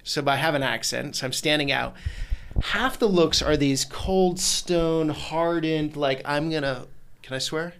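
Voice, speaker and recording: A man in casual conversation, telling animated, rambling stories about his travels and documentary work. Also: on the high side, a little slow, a little hiss or hum. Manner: animated storyteller, rambling with restarts. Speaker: guest, documentary maker.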